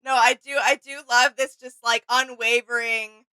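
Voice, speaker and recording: A woman's voice: a little high, little pitch movement, a little slow, sharp tone, clean tone, no room sound, poor audio. A woman co-hosting a reaction show in casual, conversational style.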